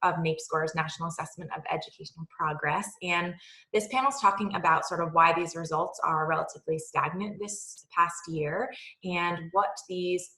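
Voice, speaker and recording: Bright-toned woman co-host, echoey room. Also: fairly low pitch, steady tone, moderate pace. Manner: agreeable, backchannels often, filler-laden. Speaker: course co-host, literacy educator. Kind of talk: casual instructional discussion. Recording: roomy echo.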